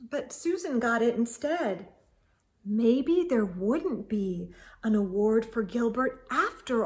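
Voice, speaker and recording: A woman reading a story aloud to children as narrator, in a deliberate, expressive way. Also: on the high side.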